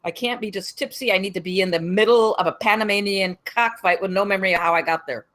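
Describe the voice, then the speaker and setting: An actress playing a brash, pompous ex-military campus security captain in a comedy table read, blunt and commanding. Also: female voice, medium pitch, fast talker, fairly bright tone, lightly acted. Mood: boastful, commanding. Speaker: actor in a screenplay table read. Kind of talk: comedy script read aloud.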